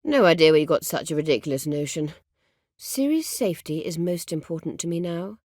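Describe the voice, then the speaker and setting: A proud, vengeful sorceress from a fantasy video game. Her voice sits fairly low and swings widely in pitch, recorded close and dry. She speaks in haughty, formal phrases edged with sardonic bite. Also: lively intonation, darkish tone, no room sound. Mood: measured, persuasive. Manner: haughty, formal, measured, biting sarcasm. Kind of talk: video game character dialogue.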